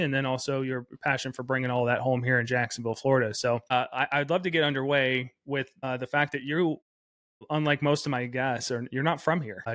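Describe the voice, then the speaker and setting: Podcast host, dry close sound. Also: male voice, medium pitch, a bit bright, no room sound. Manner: loose, rambling questions with fillers. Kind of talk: interview questions.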